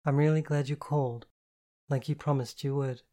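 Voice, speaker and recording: A narrator voicing a sleepy, kind woman who reassures and gently takes command in an audiobook story. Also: mid-range voice, steady tone, normal speed, lightly acted. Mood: reassuring, commanding. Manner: drowsy, reassuring, evenly commanding.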